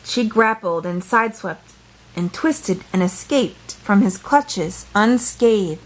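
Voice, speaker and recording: A woman tells a children's story at an unhurried, slow pace. Her pitch sits in the middle for a woman, and she reads playfully and dramatically, with brief asides. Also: expressive, poor audio. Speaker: storyteller reading a picture book aloud. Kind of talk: expressive children's read-aloud with brief asides.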